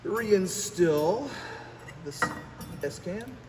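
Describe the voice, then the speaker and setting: A man's voice: high-pitched, lively intonation, very slow. An American man giving a casual, rambling hands-on repair vlog, muttering in a folksy, self-deprecating way as he works. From United States. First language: English. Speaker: trucker and vlogger. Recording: echoey, distant mic, clear workshop noise.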